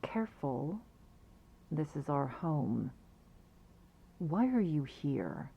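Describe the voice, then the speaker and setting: A woman's voice: deep voice, sing-song, very slow, creaky, slightly rough, highs cut off, exaggerated voice. A woman playing a stern, suspicious vampire with a curt, menacing delivery in an ASMR roleplay. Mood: cold, warning.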